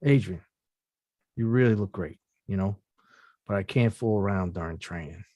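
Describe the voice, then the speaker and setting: A man's voice: deep voice, normal speed, dark tone, no room sound. Humble young male boxer, plainspoken, read by a man, dry sound. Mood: easygoing. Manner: plainspoken, laid-back. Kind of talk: live online table read.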